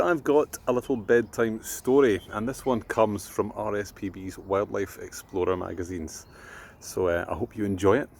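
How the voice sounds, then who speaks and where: A Scottish man tells a bedtime story to children. His voice sits fairly low with plenty of gravelly creak, and his delivery is expressive, dropping into a hush at times. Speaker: presenter reading a bedtime story. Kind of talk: children's bedtime storytelling and friendly address to viewers.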